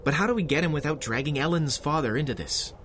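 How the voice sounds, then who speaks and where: Earnest young cop, animated pitch. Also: male voice, slight background noise. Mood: troubled, sincere. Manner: halting, sincere, flustered. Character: earnest, awkward, honest young policeman, anxious and hesitant. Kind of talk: 1930s radio adventure serial acting.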